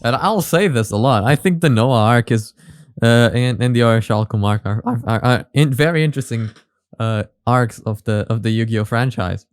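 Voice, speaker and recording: Man giving halting commentary, smooth and dry. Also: medium pitch, no room sound. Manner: halting, repeats words, swears casually. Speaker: reaction video host. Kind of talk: casual spoken commentary.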